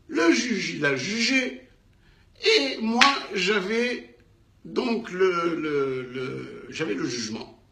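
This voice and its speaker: A man with a North African French accent telling a business anecdote in an animated way, acting out the dialogue with emphatic repetitions. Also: sits high, echoey room, slight background noise. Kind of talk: animated storytelling.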